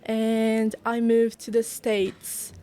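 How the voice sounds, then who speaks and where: A woman's voice: on the high side, completely flat delivery, extremely slow, warm tone, clean tone. A young woman, born in Brazil and raised in Japan, talks earnestly as a guest student in a casual interview, with many "like" fillers. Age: young adult. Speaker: guest, culinary arts student.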